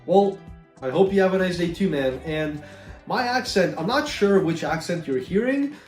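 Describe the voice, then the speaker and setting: A man's voice: high voice, moderate pace. A young man from Ukraine with a Canadian accent and a slight Slavic tinge, chatting casually as he answers viewer questions with lots of fillers. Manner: relaxed and chatty, many fillers. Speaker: gaming YouTuber. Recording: roomy echo, a bit far from mic, faint music.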